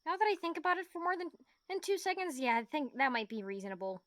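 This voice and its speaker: Very high, bright, lively woman player. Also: fast talker, no room sound, clean studio sound. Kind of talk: casual tabletop roleplay chat.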